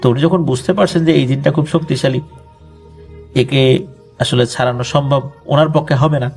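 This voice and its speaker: A man's voice: mid-range voice, steady tone, normal speed, vocal fry, a little mellow, soft background music. A man with a Bangladeshi accent narrating a ghost story conversationally, with explanatory colloquial asides.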